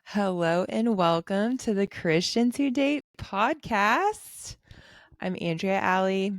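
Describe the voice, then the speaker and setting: A woman's voice: medium pitch, animated, takes it slowly, no room sound, clean studio sound. A woman hosting a podcast in a casual chat, teasing her guests and laughing often. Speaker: podcast host.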